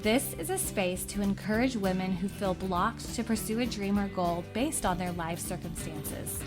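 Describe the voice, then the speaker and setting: A woman's voice: medium pitch, normal speed. Female podcast host, mid-range voice, scripted intros and interview chat, guitar music underneath. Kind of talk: warm-up scripted intro, then conversational interview.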